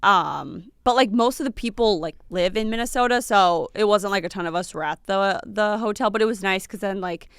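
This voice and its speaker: A woman's voice: medium pitch, brisk, few pauses, clear, resonant tone, dry recording, studio quality. Chatty woman in her late twenties. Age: late 20s. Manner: chatty, fillers and little laughs. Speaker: podcast co-host. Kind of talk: casual chat.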